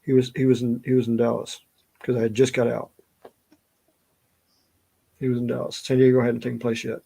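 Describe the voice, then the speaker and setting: A man's voice: mid-range voice, completely flat delivery, a little fast, vocal fry, mellow, slightly rough. A middle-aged man with a Southern US accent chatting casually and telling stories in a rambling way. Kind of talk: casual chat, storytelling.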